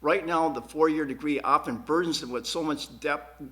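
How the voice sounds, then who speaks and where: A man answering questions as a school board candidate at a forum, earnest and emphatic, often repeating words haltingly. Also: fairly high pitch, fairly bright tone. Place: TV studio.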